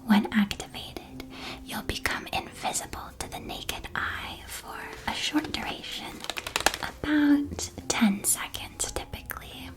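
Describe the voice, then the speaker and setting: A tiny magical sweet shop where a kindly shopkeeper played by a woman whispers gentle, unhurried ASMR sales patter to a young witch customer. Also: high-pitched. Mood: gentle, helpful. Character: kindly, chatty female candy shopkeeper in a witch world. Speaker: ASMR roleplay performer.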